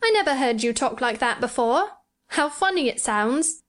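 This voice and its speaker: Woman doing a frail little boy, very high and sing-songy, slow and amazed. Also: expressive, a bit bright. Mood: wondering. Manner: slow, wondering and delighted. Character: sickly young boy, eager and wondering.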